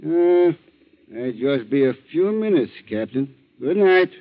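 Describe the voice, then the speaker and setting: A man's voice: a little high, animated. Falsely friendly fisherman blackmailer, broken English, swooping intonation, thin phone-like vintage radio sound. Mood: ingratiating. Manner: broken English, insinuating, drawn-out threats. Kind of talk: 1940s radio acting. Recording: tinny, narrow-band 1940s radio recording.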